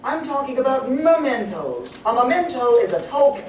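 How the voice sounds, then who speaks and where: Exasperated straight man played by a man, animated delivery, echoey stage with faint noise. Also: very high-pitched, normal speed, far from the mic. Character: exasperated straight man in vintage double act. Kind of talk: old radio comedy acting.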